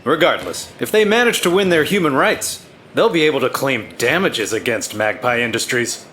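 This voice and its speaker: Stern cartoon corporate handler, male actor, middle pitch, curt mission briefing, slight background noise. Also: lively intonation, moderate pace, a bit bright, close to an everyday voice. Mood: brisk, commanding. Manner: curt, commanding.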